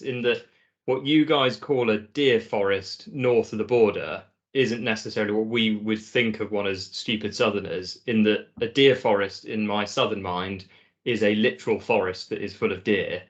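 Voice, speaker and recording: A man's voice: fairly low pitch, fairly even intonation, fairly quick. A man hosting a podcast, asking questions in a friendly, self-deprecating way. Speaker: podcast host. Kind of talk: casual podcast interview. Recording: slightly dull, lo-fi audio.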